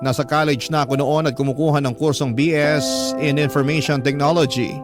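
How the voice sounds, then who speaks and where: Isang lalaking madramang nagbabasa ng liham bilang kuwento sa radyo, sa unang panauhan. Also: male voice, fairly high pitch, fairly even intonation, brisk. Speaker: radio drama storyteller. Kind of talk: dramatic first-person scripted narration of a letter. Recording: music bed under the voice.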